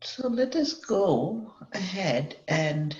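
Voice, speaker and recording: Slow-speaking woman guiding a meditation. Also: lowish voice, big pitch swings, a little mellow. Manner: measured, pausing often mid-sentence. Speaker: meditation guide. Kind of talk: guided meditation, semi-improvised. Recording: dull lo-fi, echoey room.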